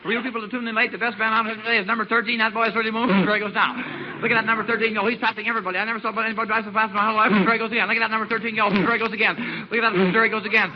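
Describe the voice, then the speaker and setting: High-pitched, overexcited old-time radio sports announcer played by a man. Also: flat delivery, very fast, natural voice. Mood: frantic, excited. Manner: breathless, repetitive, overexcited play-by-play. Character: excitable, muddled male radio sports announcer. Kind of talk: 1940s radio comedy sketch, mock race commentary. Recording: noisy, dull 1940s radio broadcast recording.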